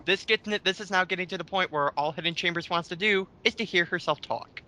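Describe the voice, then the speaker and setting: A man's voice: high voice, fairly quick, sharp tone. A man giving blunt, profane, scornful commentary in a casual rant. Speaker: commentary host.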